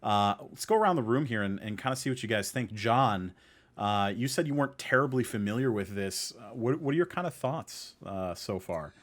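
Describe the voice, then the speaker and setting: A man's voice: fairly low pitch, lively intonation, a little fast. A man hosting a podcast in a casual, conversational style, stressing key points by repeating them. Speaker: podcast host.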